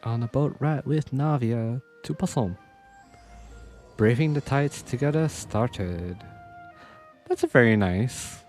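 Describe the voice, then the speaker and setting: A woman streaming a game playthrough, reacting casually and softly to the dialogue. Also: extremely low pitch, takes it slowly, pauses often. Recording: faint game music behind the voice.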